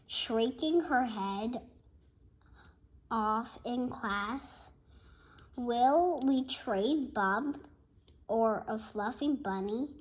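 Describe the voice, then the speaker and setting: A child reading a bedtime story aloud in an expressive, halting way, with asides to listeners. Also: medium pitch, low-quality recording. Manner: expressive, halting, shouts out dialogue. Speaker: storybook reader.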